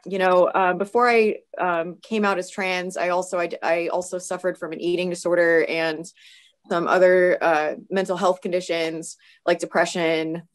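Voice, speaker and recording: A woman in her thirties with a permanently hoarse, raspy voice, talking as a guest on a video call, thoughtful and full of fillers and restarts. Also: on the low side. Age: 30s. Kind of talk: casual video-call interview. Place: home room. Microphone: video call webcam.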